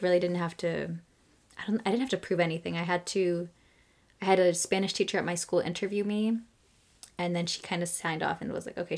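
A young woman co-hosting a podcast, chatting casually and rambling with lots of fillers and laughter. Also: on the low side. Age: young adult. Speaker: podcast co-host. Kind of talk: casual chat.

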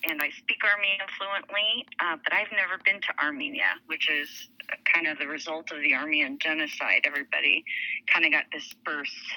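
A woman's voice: deep voice, steady tone, normal speed, bright, forceful tone, rough recording. A woman in her forties from Lebanon and Saudi Arabia, a podcast guest chatting casually about her life with fillers. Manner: chatty, with fillers and light laughs. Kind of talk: casual interview storytelling.

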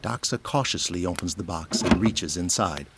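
A man's voice: sits low, normal speed, very noisy. A man delivering scripted, present-tense narration for an audio drama. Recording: music behind the voice.

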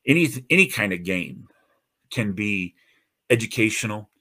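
A man with a low, radio-ready voice talks straight to his listeners as host of his own show. He sounds earnest and encouraging, stumbles into restarts and fillers, and keeps the tone casual and warm-hearted. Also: animated, normal speed. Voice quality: deep radio-style voice. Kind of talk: casual podcast monologue.

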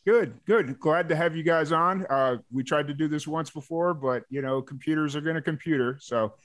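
A man's voice: on the high side, moderate pace. A man hosting a podcast, asking loose, rambling questions full of restarts in casual chat. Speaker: podcast host.

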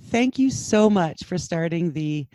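A man's voice: on the high side, expressive, a little slow, darkish tone, no room sound, studio quality. A man co-hosting a podcast on a video call, chatty and joking. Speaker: podcast co-host. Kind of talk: casual chat.

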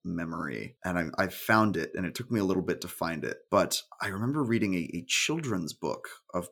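A man with a fairly low voice co-hosts a relaxed, chatty podcast. He laughs easily and tends to wander off into tangents as he talks. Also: a little fast, creaky, breathy edge. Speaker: podcast co-host. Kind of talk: casual chat.

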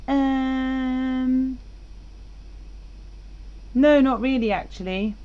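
A woman's voice: high-pitched, drawn-out words, warm tone, lo-fi sound. Chatty, teasing woman podcast co-host. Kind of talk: casual chat.

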